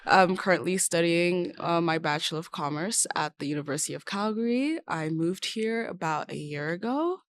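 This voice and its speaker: A woman's voice: on the low side, expressive, normal speed, few pauses, slight background noise. A young woman from Zimbabwe, a guest in a casual interview, talking in a chatty way with many "like" fillers. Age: young adult.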